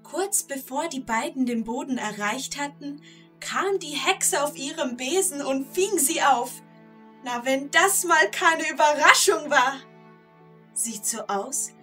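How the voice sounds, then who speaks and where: Woman as excitable kids story narrator, high voice, slow delivery, talks right to the listeners. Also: soft background music. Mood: relieved, confiding. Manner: addresses the listeners, gets caught up in events. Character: excitable, involved storyteller of a children's tale. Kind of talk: dramatic scripted children's storytelling.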